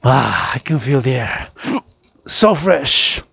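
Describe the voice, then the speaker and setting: A man's voice: medium pitch, sing-song, slow, vocal fry, pushed and bright, husky, no room sound. A man with a Quebec French accent vlogging on a mountain path outdoors, declaiming and repeating phrases in English and French. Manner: declamatory and theatrical, repeats phrases in both languages. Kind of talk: walking vlog, mixing English and French.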